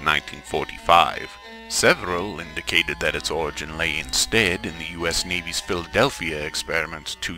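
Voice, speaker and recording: A man's voice: low voice, rough recording. A man narrating in a formal, portentous, mock-serious old-time radio announcer style. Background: music.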